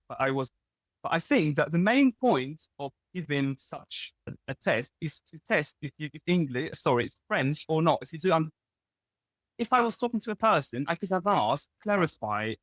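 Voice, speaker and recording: A man's voice: a little high, sing-song, normal speed, fairly bright tone, no echo. A young Lebanese man, whose first language is Arabic, speaks as an interview guest in a candid way, often restarting and correcting himself. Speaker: guest, pharmacist. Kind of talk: casual interview talk.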